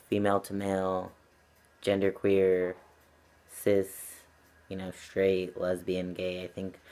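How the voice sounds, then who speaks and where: A young man talking earnestly and reflectively to camera at home, with frequent ums and likes. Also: low-pitched. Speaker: channel vlogger. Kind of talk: casual talk to camera. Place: at home with his cat.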